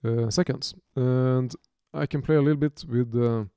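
A man in his thirties from Norway with a Norwegian accent, giving an unscripted music software tutorial from his home studio, talking haltingly with many restarts and fillers. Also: mid-range voice, fairly even intonation, clear, resonant tone, no echo, lo-fi sound. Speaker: music producer demonstrating software.

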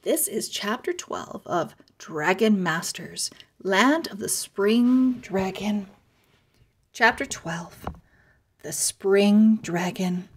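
A woman narrating a children's chapter book aloud, with careful, expressive storybook phrasing. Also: medium pitch, takes it slowly, husky. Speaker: read-aloud host and narrator.

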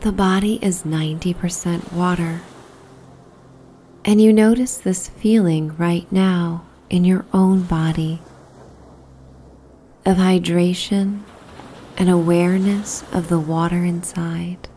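Slow, hushed woman guiding sleep meditation. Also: lowish voice, fairly even intonation, slight background noise. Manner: soothing, hushed and breathy, unhurried. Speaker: sleep meditation host and narrator. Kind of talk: guided sleep meditation narration. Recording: soft background ambience soundscape. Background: relaxing ambient soundscape.

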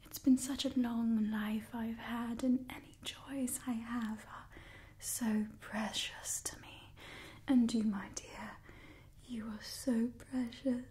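A young woman performing a whispered ASMR roleplay as an imperious, doting old vampire clan overseer, breathy and theatrical. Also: on the high side, little pitch movement, slow pace, mellow. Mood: pleading, adoring. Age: young adult.

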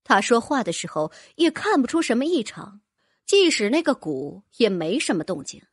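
A woman narrating a fantasy novel audiobook with expressive storytelling. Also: a little high, moderate pace, breathy edge, no echo, clean studio sound.

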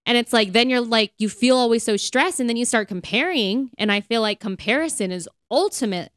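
A woman's voice: on the high side, fairly quick, a bit bright, no echo, studio quality. An American woman co-hosting a podcast, talking casually and emphatically, with "like" sprinkled all through her speech. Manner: chatty, emphatic, heavy use of "like". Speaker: podcast co-host. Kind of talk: casual conversational podcast talk.

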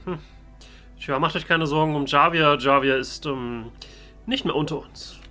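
A man's voice: on the high side, a little slow, sharp tone, soft background music. A man doing improvised in-character let's play commentary, with casual, hesitant phrasing. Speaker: let's player.

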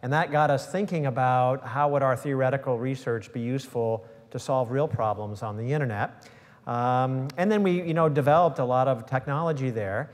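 A man's voice: mid-range voice, big-room sound. A middle-aged man speaking on a stage panel, explaining steadily with frequent "you know" fillers. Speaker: tech company CEO and professor on panel. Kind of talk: panel discussion. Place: large auditorium stage, seated. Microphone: lapel mic.

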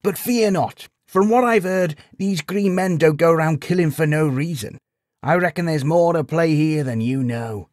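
A man voicing a kindly old village sage in a storybook, gently chiding and wry, explaining patiently in folksy rhetorical questions. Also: mid-range voice, moderate pace, dry recording, clean studio sound, pitched above the performer's own voice, lightly acted. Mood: gentle, reassuring. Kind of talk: storybook character voice.

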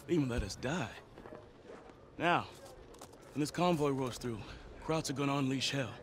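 An actor playing a proud, determined American army engineer, firm and dry, in a war video game. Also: male voice, mid-range voice, moderate pace, close to an everyday voice. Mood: dry, guarded. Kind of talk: cinematic war game acting. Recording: loud outdoor battle noise behind.

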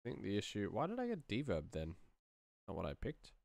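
A man's voice: on the low side, huge pitch swings, brisk, vocal fry, darkish tone, dry recording. A man in his thirties muttering in fragments as he casually narrates his work at a computer. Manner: muttering, thinking aloud in fragments. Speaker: sound designer, streamer. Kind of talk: casual screen-share commentary while working. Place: small indoor room at a computer.

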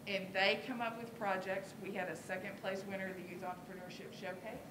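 A middle-aged woman teacher talks informally and explains as she goes, at a middle pitch. The room is noticeably echoey, and a clear low rumble sits under her masked voice at the podium microphone. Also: fairly even intonation, fairly quick. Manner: explanatory, conversational. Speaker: elementary school teacher. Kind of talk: informal presentation to a school board. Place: school board meeting room. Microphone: podium microphone, speaking through a face mask.

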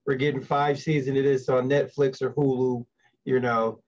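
A man's voice: medium pitch, little pitch movement, moderate pace, darkish tone. A middle-aged man hosting an interview in a casual, chatty way over a video call. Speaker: interview host. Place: indoor room on a video call. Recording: dull lo-fi call audio.

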